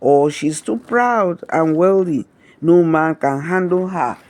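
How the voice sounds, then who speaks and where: A man narrating a scripted romantic folktale in a measured, dramatic storybook manner. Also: fairly high pitch, a little mellow.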